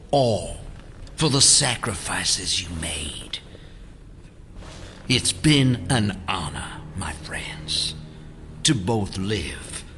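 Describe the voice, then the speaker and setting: An actor playing a grave, resigned old elder of a secret syndicate, speaking formal, halting oration in an audio drama. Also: male voice, lowish voice, gravelly, a bit bright, a little hiss or hum, full character voice. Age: older.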